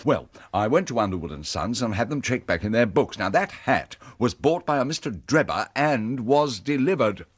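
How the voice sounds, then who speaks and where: A man's voice: medium pitch, sing-song, normal speed, few pauses, husky. A man playing a self-satisfied, blustering Victorian police inspector in a radio drama, with a British accent and a pompous, boastful, bumbling manner. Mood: confiding, pompous.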